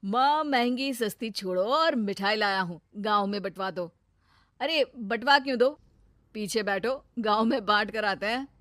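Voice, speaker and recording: A woman's voice: mid-range voice, measured pace, a bit bright, dry recording, clean studio sound, lightly acted. A woman voicing a cheerful, easygoing young working man who dotes on his mother.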